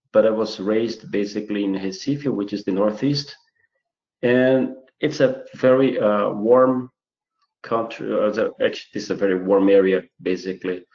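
A man's voice: fairly low pitch, a little mellow. Brazilian man lecturing in a webinar. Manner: halting, many fillers and restarts. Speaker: chemistry researcher giving a webinar. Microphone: computer mic on a video call. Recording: roomy, muffled webinar audio.

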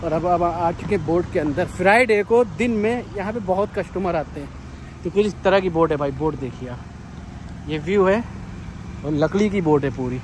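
A man's voice: high voice, normal speed. Upbeat man vlogging at a noisy harbour. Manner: chatty and upbeat, guiding viewers and asking questions. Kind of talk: walking vlog with street interviews. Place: outdoors at a harbour at night, then on a boat. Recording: loud outdoor noise with generator hum.

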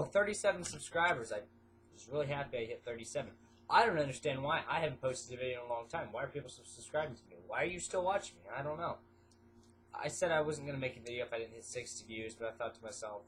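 A man's voice: mid-range voice, fairly bright tone, slight background noise. A teenage boy with a stuffy nose vlogging casually to a webcam in his small bedroom, rambling with lots of fillers and jumping from topic to topic. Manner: rambling, filler-heavy, jumps between topics. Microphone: webcam at desk.

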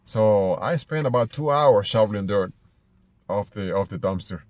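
A middle-aged man from Ecuador with an Ecuadorian Spanish accent, telling stories casually to camera in a halting way, repeating words as he goes. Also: fairly low pitch, animated, dry recording. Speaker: small business owner vlogger. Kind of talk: casual personal storytelling to camera. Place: inside a parked car.

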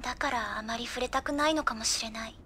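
A woman's voice: on the high side, fast talker, pushed and bright, slight background noise. An actress playing a sincere, concerned teenage schoolgirl, speaking earnestly in an anime audio drama. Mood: pensive.

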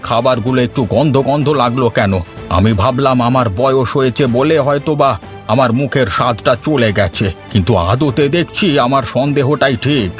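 A man's voice: mid-range voice, fairly quick, faint music behind, lightly acted. Man acting indignant elderly village patron, cartoon. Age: older. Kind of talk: Bengali cartoon story dialogue.

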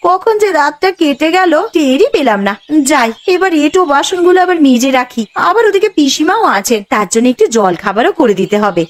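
A woman voicing a dutiful, anxious young village housewife in a cartoon, earnest and expressive. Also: high voice, fairly quick. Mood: busy, worried. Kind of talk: Bengali animated ghost-story voice acting.

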